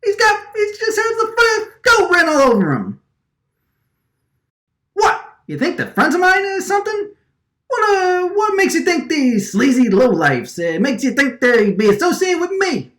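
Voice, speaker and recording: A woman's voice: extremely high pitch, sing-song, moderate pace, fairly bright tone, reverberant, exaggerated voice. A woman acting an agitated, stammering wiseguy man with a vacuum for a face, recording radio drama lines. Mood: defensive, annoyed. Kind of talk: radio drama line takes, gangster-movie wiseguy delivery.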